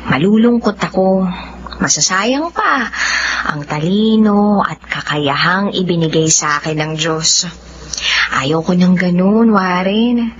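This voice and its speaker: A woman acting a gentle, modest, hopeful young woman in a drama, with a Filipino accent. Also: on the low side, expressive, slow pace. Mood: sincere, hopeful. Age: young adult. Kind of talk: drama dialogue. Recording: dull, faintly noisy recording.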